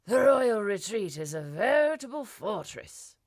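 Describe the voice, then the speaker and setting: A woman voicing a scheming male royal adviser who speaks in smooth, formal deference. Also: medium pitch, very animated, unhurried, darkish tone, dry recording, studio quality, pitched above the performer's own voice. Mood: deferential.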